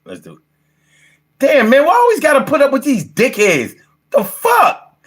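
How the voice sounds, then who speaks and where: A man's voice: extremely high pitch, huge pitch swings, normal speed. A man in his fifties hosting a casual livestream, talking in brash, slangy, profane style and repeating phrases for emphasis. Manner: brash, heavily profane, slangy street talk. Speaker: livestream host.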